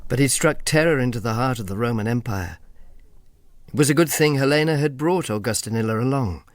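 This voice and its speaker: An actor playing a wry, sardonic Roman imperial agent and uncle, narrating and bantering drily in a radio drama. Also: male voice, mid-range voice, a little fast, dry recording, close to an everyday voice. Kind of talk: radio drama acting with first-person narration.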